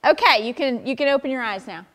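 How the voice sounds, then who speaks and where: A young woman pastor speaks to children in a high, bright voice. Her delivery is animated and friendly, with lots of simple questions and encouragement. She sounds like she's in a church sanctuary, picked up by a camera across the room. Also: fast, background noise. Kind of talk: children's sermon. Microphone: far camera.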